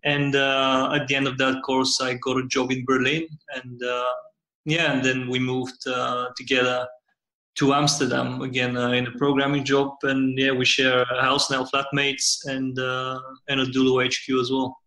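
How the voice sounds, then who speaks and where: A young man from Bulgaria answering interview questions, telling his story in a relaxed, hesitant way. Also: medium pitch, moderate pace, reverberant, low-quality recording. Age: young adult. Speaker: guest, startup cofounder.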